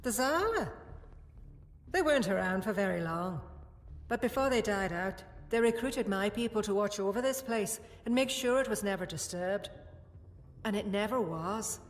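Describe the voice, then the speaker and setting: A woman's voice: mid-range voice, lively intonation, moderate pace, close to an everyday voice. A woman playing a stern prison guard. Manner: stern and scolding. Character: stern female prison security guard, young adult. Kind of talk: fan audio drama acting. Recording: long reverb with music behind.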